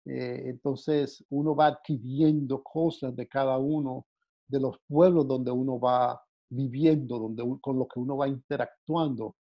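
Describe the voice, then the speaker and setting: Man from the Dominican Republic, Bible teacher, mid-pitched, halting delivery, muffled lo-fi recording. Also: steady tone. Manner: halting, many "eh" fillers and repeated words. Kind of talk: unscripted Bible class talk. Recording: dull lo-fi sound.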